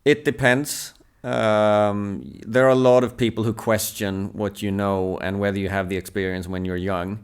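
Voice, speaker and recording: Swedish man in his thirties, native swedish speaker, low voice, smooth and resonant tone, thoughtful and measured, hesitant fillers. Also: flat delivery. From Sweden. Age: 30s. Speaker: national team table tennis coach, podcast guest. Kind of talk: reflective casual conversation.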